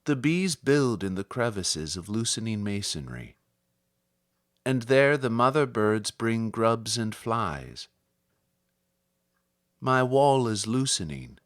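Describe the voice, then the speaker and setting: Slow, measured man reciting poetry. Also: medium pitch, big pitch swings, no room sound, clean studio sound. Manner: measured, solemn delivery with deliberate phrasing. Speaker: poetry reader.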